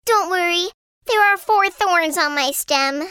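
A woman plays a vain storybook flower with a high, bright voice. She sounds girlish, coy and haughty, always expecting to be looked after. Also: a little slow, no room sound, studio quality, exaggerated voice. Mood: regretful. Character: vain, demanding young female flower. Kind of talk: acted children's audio fairy tale.

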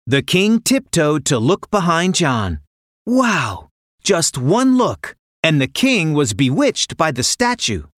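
A man's voice: on the high side, wildly swooping pitch, takes it slowly, fairly bright tone, no room sound, studio quality. A man narrating a children's fairy tale in a dramatic, playful storytelling manner. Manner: dramatic, playful sound words.

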